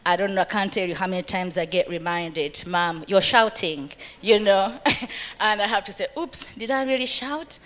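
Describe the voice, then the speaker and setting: A woman's voice: medium pitch, moderate pace, fairly bright tone. A middle-aged woman from Uganda speaking earnestly to a church audience about family life. She laughs often and quotes scripture.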